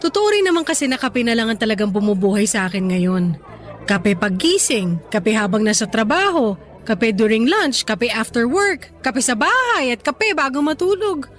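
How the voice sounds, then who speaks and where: A woman's voice: on the high side, very animated, moderate pace, fairly bright tone. An actress playing a playful, stubborn young woman in a radio drama, teasing and argumentative in a conversational way, with a Filipino accent. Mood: chatty. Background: music bed.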